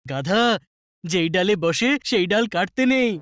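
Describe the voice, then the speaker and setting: A performer voicing a bossy, scolding, swaggering animal friend in a cartoon, with a high-pitched voice. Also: expressive, unhurried, fairly bright tone. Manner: scolding, cocky. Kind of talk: cartoon dub acting.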